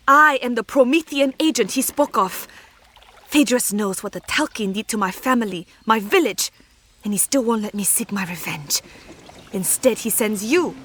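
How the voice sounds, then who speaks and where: An actress playing a proud, embittered secret agent in a fantasy game, speaking formally and with determination. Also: female voice, on the high side, fairly bright tone. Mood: incredulous. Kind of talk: scripted fantasy game dialogue.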